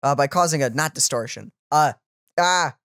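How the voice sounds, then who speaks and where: A young man giving casual reaction commentary, animated and often searching for words. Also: on the high side, moderate pace, dry recording, studio quality. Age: young adult. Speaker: reaction channel host. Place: small room at home. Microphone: boom-arm microphone, close.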